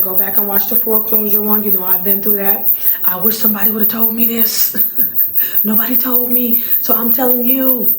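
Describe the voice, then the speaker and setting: A raspy-voiced, middle-aged woman chats straight to the camera as a vlog host, stacking up repeated words for emphasis and laughing easily. Her voice rings a little in a roomy space, with a faint hum of background noise behind it. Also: medium pitch, a little mellow. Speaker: channel host, surplus funds recovery business owner. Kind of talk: casual talking-to-camera vlog.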